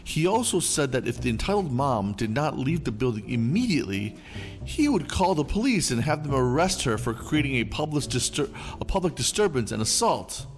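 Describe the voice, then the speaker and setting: Lively male story narrator. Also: mid-range voice, normal speed. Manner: lively, expressive reading. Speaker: storyteller channel host. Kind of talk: scripted narration of online stories. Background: faint background music.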